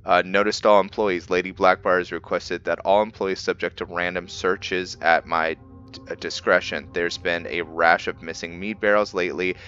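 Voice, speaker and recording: A man giving casual video game commentary as a roleplay host, halting as he thinks aloud. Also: sits low, little pitch movement, sharp tone, clean tone, highs cut off. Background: game music.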